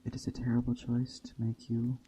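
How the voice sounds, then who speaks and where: A man voicing a wily, seductive witch enchantress in a storybook tale, with soft, coaxing speech. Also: mid-range voice, completely flat delivery, a little fast, a little hiss or hum, close to an everyday voice, low-quality recording. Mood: grave warning.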